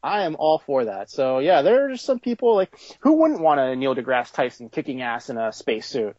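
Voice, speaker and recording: A man's voice: medium pitch, expressive, brisk, a little mellow, dry recording, dull audio. A man being interviewed about his toy company, talking in a casual, conversational way.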